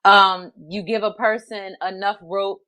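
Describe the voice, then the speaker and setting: A woman's voice: mid-range voice, level tone, a little slow, sharp tone. A woman hosting a podcast, talking in a hesitant way with many fillers and restarts. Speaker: podcast host. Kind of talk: casual conversation.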